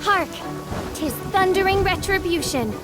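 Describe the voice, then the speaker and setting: A woman's voice: very high voice, expressive, unhurried. A woman voicing a theatrical, grandiose girl in a video game, with pompous, archaic, melodramatic delivery. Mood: portentous. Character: theatrical, grandiose young girl proclaiming dark omens. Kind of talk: acted video game dialogue. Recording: loud music over the voice.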